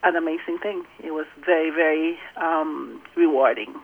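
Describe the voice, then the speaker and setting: Woman, middle-aged, earnest, measured, thoughtful pauses, tinny phone audio. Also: low-pitched. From Puerto Rico. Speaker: language and accent consultant. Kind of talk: phone interview.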